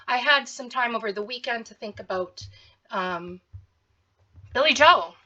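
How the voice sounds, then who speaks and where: A woman's voice: on the high side, expressive, unhurried, sharp tone, lo-fi sound. A woman with a sore, scratchy throat, speaking straight at someone in a confrontational, repetitive way as a commentary host. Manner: confrontational, addressing someone directly, repeats herself. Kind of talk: direct-to-camera commentary.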